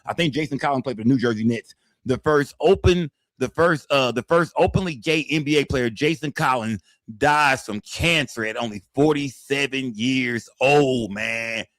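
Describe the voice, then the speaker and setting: A man's voice: mid-range voice, fairly bright tone, no room sound. A man in his forties hosting a live stream in loose, profane casual chat. He reads the chat aloud and repeats his phrases, tagging them with "man" and "fam.". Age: 40s.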